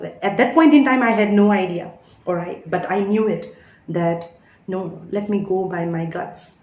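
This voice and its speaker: A woman's voice: lowish voice, a little mellow, reverberant, muffled recording. A woman in her thirties who reads tarot, talking in an emphatic spiritual monologue and often checking in with "all right?". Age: 30s. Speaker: tarot reader.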